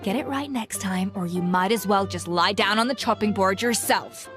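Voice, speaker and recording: A woman's voice: mid-range voice, moderate pace, fairly bright tone, soft background music, lightly acted. A woman voicing a stern, exacting female boss in a video game, with a haughty, formal, scolding manner.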